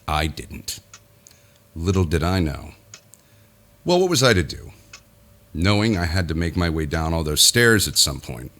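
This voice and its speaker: A man's voice: extremely low pitch, fairly bright tone. An actor playing a weary, self-important businessman who confides his nightmare theatrically in a horror audio drama. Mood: regretful, uneasy. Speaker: lead actor narrating in character. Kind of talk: dramatic first-person audio horror narration.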